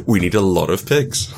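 Low-voiced man chatting about a game. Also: vocal fry, a little mellow. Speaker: guest game designer. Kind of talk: casual chat during tabletop game character creation.

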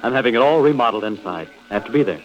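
A male actor plays a smooth-talking, two-timing husband in an old radio drama. His voice sits fairly low and he placates and persuades as he goes. The sound is muffled and lo-fi, like a vintage broadcast. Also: animated, rapid-fire, faint music behind, close to an everyday voice. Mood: smoothly persuasive. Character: smooth, evasive, cheating husband, middle-class businessman. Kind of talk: 1940s radio drama acting. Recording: dull, lo-fi old radio recording.

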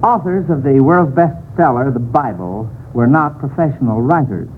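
A man's voice: mid-range voice, animated, moderate pace. An American man hosting a 1940s radio quiz, encouraging the contestants. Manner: chuckles often, encouraging to contestants. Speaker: quiz show host. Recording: tinny, faintly noisy old radio broadcast.

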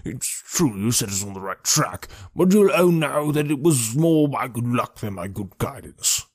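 Blustering, husky, wheezy stout policeman. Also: mid-range voice, lively intonation, a little slow, darkish tone, no room sound, voice pushed up. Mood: hearty bluster. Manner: hearty, pompous, repeating his phrases. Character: stout, blustering, self-satisfied middle-aged policeman.

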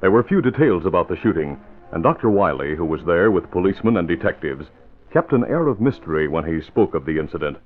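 A man's voice: deep voice, big pitch swings, fast talker. A man delivering formal, dramatic scripted narration for an old-time radio drama. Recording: narrow vintage radio recording with music. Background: music.

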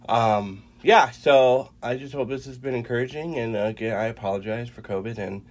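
A young adult man co-hosting a faith podcast, congested and a little raspy, talking haltingly with many fillers. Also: medium pitch. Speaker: podcast co-host.

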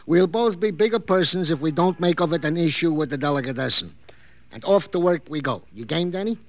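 Earnest radio-drama cop, slightly high voice, pompous, over-formal, officious. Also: male voice, lively intonation, brisk. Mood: earnest, wounded. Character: fussy, earnest, pompous male police sergeant. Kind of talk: 1950s radio crime drama acting.

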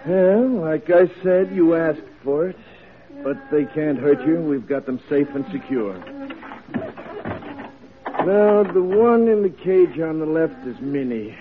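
Man playing a gruff, folksy old-radio jailer, muffled recording. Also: fairly high pitch, measured pace, pauses often, noisy background, lightly acted. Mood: matter-of-fact. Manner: folksy, colloquial, brusque. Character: gruff, stubborn, dismissive male prison jailer. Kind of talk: old-time radio drama acting.

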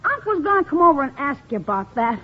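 A woman's voice: high-pitched, big pitch swings, quick pace. A woman playing a cheeky, scheming young schoolboy in a 1950s radio comedy, pleading and slangy. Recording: muffled old radio recording.

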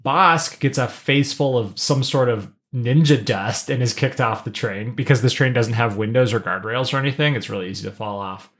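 A man's voice: medium pitch, fairly bright tone. A man co-hosting a podcast, retelling a story in casual, slangy language and acting out bits of paraphrased dialogue. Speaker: podcast co-host. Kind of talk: casual episode recap with acted-out paraphrased dialogue.

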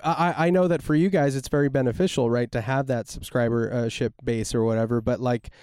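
A man's voice: medium pitch, fairly even intonation, fairly quick, darkish tone, smooth, no room sound. A man co-hosting a casual podcast chat, talking in a chatty, rambling, self-deprecating way.

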